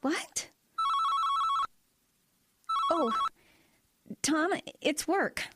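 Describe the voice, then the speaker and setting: An actress playing a kind young small-town woman in an audio drama, speaking in a gentle, conversational way. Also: sits high, lively intonation, extremely slow, dry recording, clean studio sound, heavily acted. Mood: dazed, worried. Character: kind young small-town hospital doctor, pastor's sweetheart. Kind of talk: naturalistic audio drama acting.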